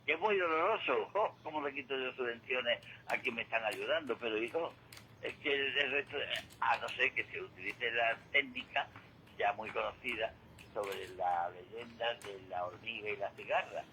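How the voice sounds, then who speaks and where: Male current-affairs commentator, sharp bright voice, emphatic and didactic, slight background noise. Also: mid-range voice, moderate pace, low-quality recording. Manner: emphatic, didactic, repeats points and asks rhetorical questions. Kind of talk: opinionated conversational radio commentary.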